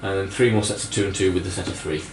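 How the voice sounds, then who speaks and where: Low-voiced man running a game, roomy sound. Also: little pitch movement. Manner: casual, halting, searching for words. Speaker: game master. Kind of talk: improvised tabletop game narration. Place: indoor room, group around a game table. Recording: roomy, distant mic with music behind.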